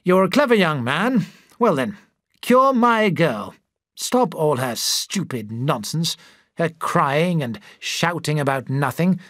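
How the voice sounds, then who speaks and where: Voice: medium pitch, big pitch swings, slow, fairly bright tone, no room sound, clean studio sound. A narrator doing a blunt, demanding rich father. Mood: impatient. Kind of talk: audiobook character voice.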